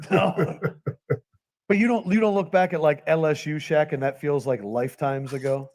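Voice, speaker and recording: Guy with a lighter voice hosting, asks curious quick questions. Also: a little high, darkish tone. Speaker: podcast host. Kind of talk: casual interview.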